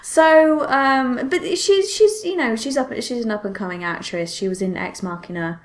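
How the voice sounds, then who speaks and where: A woman's voice: fairly high pitch, moderate pace. A woman hosting a podcast in casual chat, telling things expressively with frequent self-interruptions and exclamations. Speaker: podcast host.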